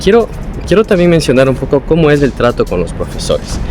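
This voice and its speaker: A man's voice: medium pitch, wildly swooping pitch, brisk, over loud music. A young man with an Ecuadorian Spanish accent, talking casually and explanatorily as a vlogger giving advice from his own experience. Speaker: vlogger, former international student.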